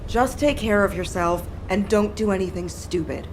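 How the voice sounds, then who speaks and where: An actress playing a sensible, caring colleague in a video game who gently cautions and scolds. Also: female voice, medium pitch, normal speed, noisy background, close to an everyday voice. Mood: concerned, chiding. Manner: cautioning, matter-of-fact.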